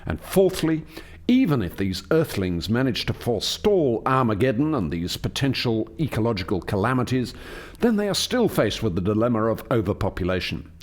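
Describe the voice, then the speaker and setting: A man plays an authoritative alien scientist heading a lab in a radio drama. His formal, lecturing delivery is measured in its wording, with lively swings of pitch that stress each point. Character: Doctor Foster, authoritative male alien scientist leading a lab. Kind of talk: radio play acting.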